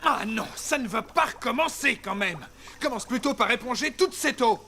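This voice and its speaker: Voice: mid-range voice, animated, normal speed, pushed and bright, a little hiss or hum. An actor voicing a flustered, harried father in a comedy cartoon, nervous, doting and full of exclamations. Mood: exasperated. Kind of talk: animated cartoon voice acting. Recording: music behind the voice.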